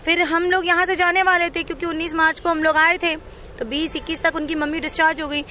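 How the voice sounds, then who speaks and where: A woman's voice: very high-pitched, flat delivery, fast talker, pushed and bright, a little hiss or hum. A woman giving an unscripted, earnest and detailed personal account as an interviewee. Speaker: interviewee telling her own account.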